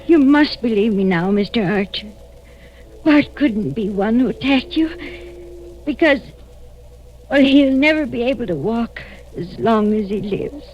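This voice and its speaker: An actress playing an anxious mother who pleads haltingly to protect her fugitive grown son, in 1940s radio drama style. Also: a little high, animated, a little hiss or hum. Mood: protective, earnest. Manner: halting, distressed, earnest pleading. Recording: dull lo-fi vintage radio recording.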